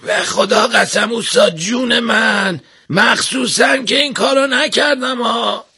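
A man plays a bumbling storybook workman in a lifted, slightly husky voice. He sounds flustered and apologetic, earnestly pleading that he meant no harm. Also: very high voice, pushed and bright, pitched above the performer's own voice, heavily acted. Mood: pleading innocence. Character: hapless clumsy apologetic male workman, earnestly protesting innocence.

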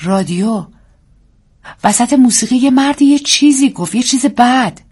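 An excitable young housewife, as a woman reading a story puts her on speaks in high, urgent bursts. The voice jumps up and stays loud and lively, with a slightly husky grain. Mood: uneasy.

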